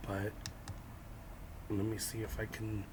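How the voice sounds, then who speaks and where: A hoarse young man with a raspy, scratchy voice, talking through a casual nail-art how-to with lots of ums and restarts. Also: fairly low pitch, monotone, slow. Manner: hesitant, many ums and restarts. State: hoarse from illness.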